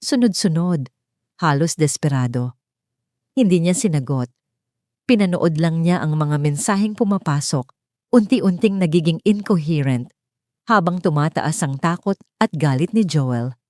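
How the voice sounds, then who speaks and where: A woman's voice: low-pitched, animated, unhurried, a little mellow, dry recording, clean studio sound. A woman with a Filipino accent reading scripted, dramatic narration as a storyteller.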